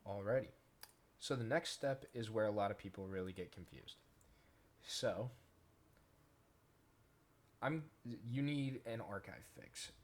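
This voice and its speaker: A man casually talking through a software tutorial step by step, in an informal way. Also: fairly low pitch, lively intonation, measured pace, pauses often. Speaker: tutorial host, gaming content creator. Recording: noisy home recording with keyboard clicks.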